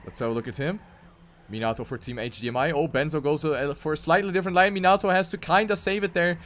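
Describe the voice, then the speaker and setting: A man's voice: a little high, fast, fairly bright tone, a little hiss or hum. A man giving live commentary on a racing video game as an esports caster.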